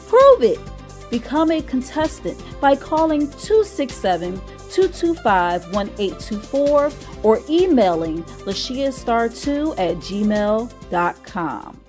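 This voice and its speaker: A woman reads a scripted show promo in a punchy announcer voice, putting energy into the big lines. Faint music plays quietly beneath her. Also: a little high. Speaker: host. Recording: faint music bed behind.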